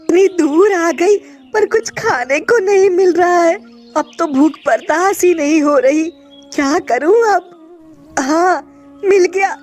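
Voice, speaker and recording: A woman's voice: very high voice, slow pace, a little mellow. A woman voicing a hungry, brave magical female bird in a cartoon, chatting to herself as she searches for food. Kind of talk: cartoon voice acting. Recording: faint music behind.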